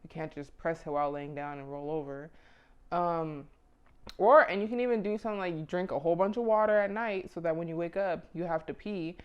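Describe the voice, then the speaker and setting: Woman in her twenties, slightly low pitch, blunt casual pep talk, slightly muffled recording. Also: a little fast, smooth. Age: mid 20s. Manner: candid, informal, blunt, slangy. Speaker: vlogger giving self-improvement advice. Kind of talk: casual motivational chat to camera.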